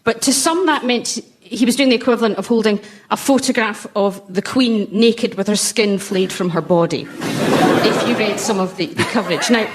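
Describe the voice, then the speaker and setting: A woman's voice: medium pitch, normal speed. A woman telling wry, hesitant comic anecdotes as a panellist on a comedy show, in front of a laughing audience. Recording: noisy live recording with audience laughter. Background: studio audience laughter.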